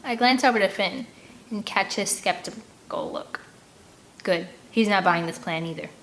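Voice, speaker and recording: A woman reads a children's adventure story aloud with an animated, expressive storytelling delivery. Her voice sits at a middle pitch, with some faint noise behind it. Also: measured pace. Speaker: audiobook reader. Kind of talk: expressive scripted first-person story narration. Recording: clear background ambient noise.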